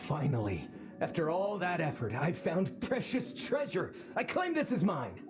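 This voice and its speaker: Greedy merchant, bossy and boastful, swooping delivery, game score behind. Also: male voice, sits high, sing-song. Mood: greedy gloating. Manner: grasping, quick to anger, haughty. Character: greedy boastful male merchant, middle-aged. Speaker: expedition sponsor. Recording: game cutscene over music. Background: music.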